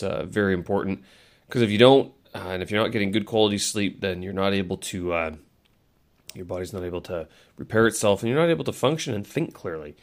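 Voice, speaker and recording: A man with a stuffy, nasal voice from a cold, talking casually and rambling on his solo audio blog, with many fillers and self-corrections. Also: low-pitched.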